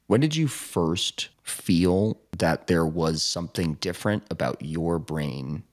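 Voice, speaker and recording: A man in his thirties hosting a podcast, reading a welcoming intro and asking gentle questions. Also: sits low, expressive, takes it slowly. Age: 30s. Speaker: podcast host. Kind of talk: scripted podcast intro and interviewing.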